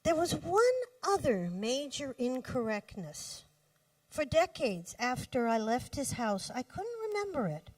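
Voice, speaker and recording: A woman poet reads her work aloud at a live reading in a fairly high voice. Her delivery is slow and deliberate, with measured pauses between phrases. She is picked up from a little distance from the microphone. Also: sing-song, no room sound. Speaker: poet reading own poems. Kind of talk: live poetry reading with brief spoken introductions. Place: cafe poetry reading.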